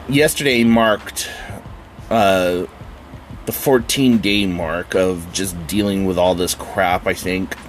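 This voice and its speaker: Male podcast host, stuffed-up and nasal from a cold, unhurried delivery, chatty and rambling with loose fillers and the odd swear. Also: lowish voice, slight background noise. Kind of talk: casual solo podcast chat.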